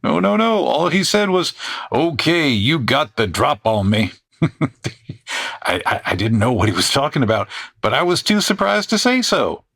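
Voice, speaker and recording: A man's voice: medium pitch, sing-song, measured pace, creaky, a bit bright, full character voice. An actor playing a sheepish, chuckling traveling salesman in a comedy Western audio drama, rambling in a folksy old-West way. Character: bashful folksy traveling salesman, middle-aged man.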